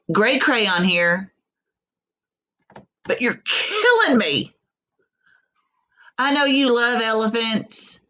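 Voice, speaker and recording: A woman's voice: fairly high pitch, pushed and bright, heavily acted. A woman voicing an exhausted storybook crayon, griping in an emphatic, put-upon way.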